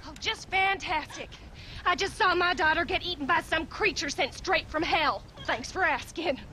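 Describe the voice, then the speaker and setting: A woman's voice: very high voice, normal speed, bright, forceful tone, slight background noise. A woman voicing a bitter, grieving wife who nags her husband and mocks strangers with sarcasm. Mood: bitter sarcastic. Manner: sarcastic, scolding, rural drawl. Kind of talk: video game cutscene acting.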